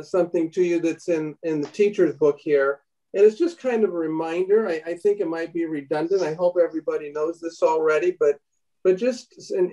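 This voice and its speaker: A man's voice: fairly high pitch, warm tone, recorded from a distance, poor audio. Earnest man teaching over a video call. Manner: earnest, halting restarts, reads aloud from a book. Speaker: bible study teacher. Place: home room indoors. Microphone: laptop webcam on a video call.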